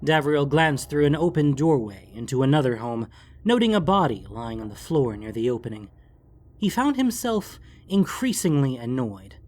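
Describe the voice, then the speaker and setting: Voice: medium pitch, very animated, moderate pace, darkish tone. A narrator reading a scripted fantasy story for an audiobook.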